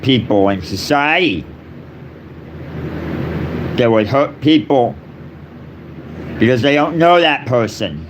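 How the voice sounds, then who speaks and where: A man delivering a solemn, improvised personal address in short, repetitive phrases with long pauses between them. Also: medium pitch, expressive, background noise. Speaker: speaker giving a personal address.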